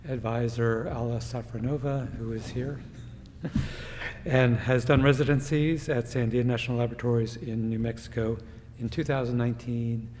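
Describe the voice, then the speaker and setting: A man introduces the next speaker at a conference, halting and full of fillers. His voice carries a long hall reverb with faint background noise behind it. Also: mid-range voice. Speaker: session chair introducing speaker. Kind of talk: casual introduction. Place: lecture hall.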